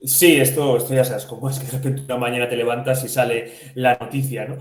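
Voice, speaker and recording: A man's voice: medium pitch, level tone, fast talker. A man from co-hosting a financial podcast, explaining conversationally and posing questions he then answers. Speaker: podcast co-host. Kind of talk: conversational financial news discussion. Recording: echoey room.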